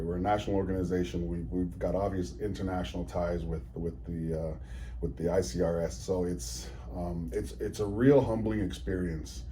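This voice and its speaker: A man in his forties talking in a relaxed interview, easygoing and earnest, often repeating and restarting words. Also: low voice, slight background noise. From New Zealand, raised in Ontario, Canada. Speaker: guest, charity executive. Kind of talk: casual interview conversation.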